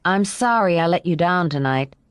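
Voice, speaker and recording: A woman's voice: fairly low pitch, lively intonation, normal speed, clear, resonant tone, no echo, clean studio sound, lightly acted. A woman voicing a sly, resentful, hot-tempered teenage boy as an audiobook character. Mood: feigned meekness.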